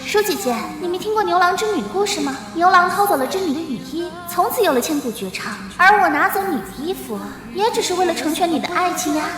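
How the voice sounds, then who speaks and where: A woman's voice: very high-pitched, expressive, fast, hardly any pauses. A woman playing a smug, scheming young villainess with false sweetness in a motion-comic drama. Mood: smug, mocking. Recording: echoey hall reverb, music behind.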